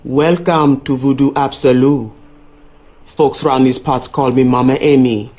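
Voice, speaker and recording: A man's voice: medium pitch, fairly even intonation, darkish tone, soft background music, lightly acted. Man playing a mysterious Southern voodoo shopkeeper. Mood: welcoming. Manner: folksy, ominous, unhurried. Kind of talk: video game character acting.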